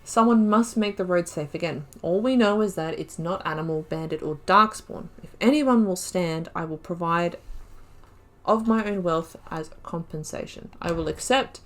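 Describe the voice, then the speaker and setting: A woman's voice: lowish voice, moderate pace. A woman hosting a game playthrough, chatting informally with wry asides and reading on-screen text aloud. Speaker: gaming video host.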